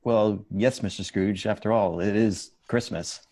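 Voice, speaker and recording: A man's voice: on the low side, fairly even intonation, measured pace, darkish tone. A man playing a meek, polite, hard-working office clerk in a table read, deferential and a little hesitant. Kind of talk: amateur table read of a Victorian Christmas story.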